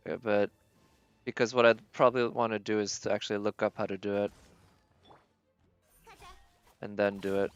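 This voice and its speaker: A man's voice: fairly low pitch, little pitch movement, fast talker, clear, resonant tone, lo-fi sound, soft background music. A man doing casual live gameplay commentary as a streamer, thinking aloud as he narrates his own decisions. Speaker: game streamer.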